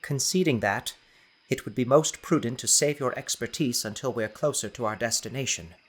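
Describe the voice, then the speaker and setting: A man's voice: medium pitch, moderate pace, slightly rough, close to an everyday voice. A man voicing a prudent, principled professor in an audiobook, speaking precisely, formally and insistently. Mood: firm, reproving. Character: prudent, principled male professor on a jungle expedition. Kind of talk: audiobook character acting.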